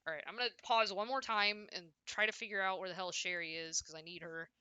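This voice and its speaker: A young woman chatting casually and loudly on a game livestream, rambling and often cutting herself off as she plays. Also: medium pitch, fairly even intonation, quick pace, a little hiss or hum. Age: young adult. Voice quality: loud voice. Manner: rambling, self-interrupting, frequent "like". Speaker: video game streamer. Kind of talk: casual livestream chat while playing.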